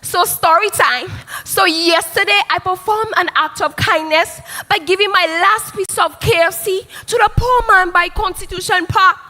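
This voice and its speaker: A vain social media influencer, put on by a woman, squealing very high and bright. She gushes, shrieks and fake-cries in a melodramatic livestream show. The voice rings with a long reverb. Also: moderate pace, few pauses, soft background music. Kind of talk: comedic livestream parody.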